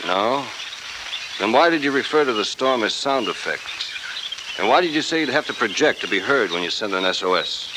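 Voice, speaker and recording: An American actor playing a tough, shrewd investigator hero in a 1940s radio adventure, clipped, wry and commanding. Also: male voice, medium pitch, expressive, a little fast, close to an everyday voice. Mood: cool, pressing. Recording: noisy old 1940s radio recording.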